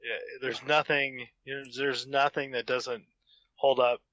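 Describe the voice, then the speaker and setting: Wry man with a bright, sharp voice. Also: mid-range voice, level tone, a little slow, no echo, highs cut off. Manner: wry, self-deprecating. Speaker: podcast guest, author. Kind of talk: casual podcast chat.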